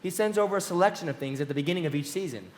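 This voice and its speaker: A man playing a wealthy young gentleman in a live radio play, lovestruck and eager to impress. The voice is a little high, with a genteel, theatrical delivery. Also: rapid-fire, close to an everyday voice. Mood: proud, genteel.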